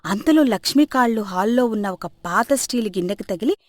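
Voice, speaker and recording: A woman's voice: medium pitch, lively intonation, a little fast, no room sound, clean studio sound. A woman storyteller reading scripted narration for a moral tale.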